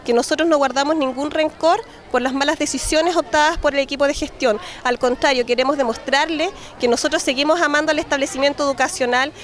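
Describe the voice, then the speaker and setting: A young woman delivering a prepared statement outdoors into a handheld mic, fluent and declamatory. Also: high-pitched. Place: outdoors on a city street. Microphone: handheld reporter mic.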